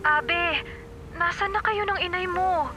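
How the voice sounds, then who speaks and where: A woman's voice: very high-pitched, measured pace, noisy background. An actress playing a loyal, anxious young woman with a Filipino accent, earnest and urgent, in radio drama style.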